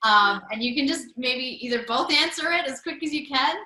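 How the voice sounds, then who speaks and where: A woman hosting an interview show, enthusiastic, laughing often and reacting with quick affirmations. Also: high-pitched, animated, bright, forceful tone, echoey room, low-quality recording. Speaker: podcast host. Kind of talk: upbeat interview with quick-fire questions.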